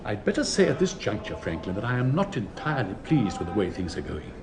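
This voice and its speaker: Pompous old headmaster, wildly swooping voice. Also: male voice, fairly low pitch, huge pitch swings, fast talker, a loud crowd. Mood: stern, fussy. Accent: upper-class English. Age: older. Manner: declamatory, fussy, plummy oratory. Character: pompous, sentimental older public-school headmaster. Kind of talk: theatrical British radio play acting.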